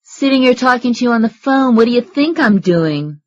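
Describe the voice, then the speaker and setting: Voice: mid-range voice, expressive, a little fast, lo-fi sound, voice pushed up, lightly acted. A narrator voicing a young boy home alone in a snowstorm, defensive and a little nervous on the phone.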